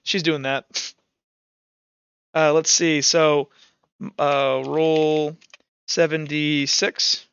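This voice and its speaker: A man's voice: a little high, level tone, extremely slow, gravelly, pauses often, a bit bright, clean tone, no room sound, lo-fi sound. A young man running a tabletop roleplaying game as game master, talking informally and thinking aloud as he narrates.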